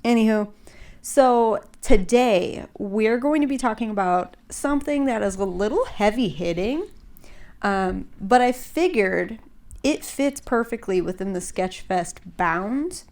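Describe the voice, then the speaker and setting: A young woman hosts a podcast in loose, casual chat, her voice a little stuffed up and congested. She rambles along with plenty of fillers and "like"s, at a middle pitch. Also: animated, measured pace, a little mellow. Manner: chatty, rambling with many fillers and likes. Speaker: podcast host.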